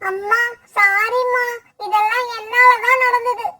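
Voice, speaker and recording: Woman voicing a squeaky, innocent little boy bird. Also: steady tone, measured pace, sharp tone, distant mic, full character voice. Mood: tearful, plaintive. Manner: childlike, plaintive. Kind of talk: children's cartoon story acting.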